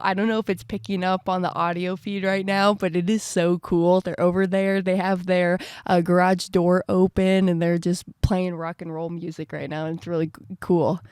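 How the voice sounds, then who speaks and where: A woman's voice: on the low side, darkish tone, clear, resonant tone, no echo. A young woman hosts her podcast in a loose, chatty way, sprinkling in plenty of y'alls. Her nose is stuffed up from allergies, so she sounds congested. The recording is close and dry. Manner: chatty, folksy, says y'all often. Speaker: podcast host. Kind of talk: casual podcast talk to viewers. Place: screened-in patio at a house.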